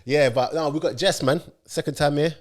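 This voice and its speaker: A man's voice: fairly high pitch, steady tone, fairly quick. A young man hosting a casual football podcast in a laid-back, drawling way. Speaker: podcast host.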